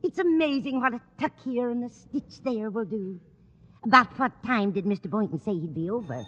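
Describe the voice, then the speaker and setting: A woman's voice: on the high side, lively intonation, normal speed, fairly bright tone. An actress playing a chatty, kindly, slightly dotty landlady in an old radio comedy, chirpy and admiring. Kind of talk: 1950s radio comedy acting. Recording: echoey, muffled old radio recording.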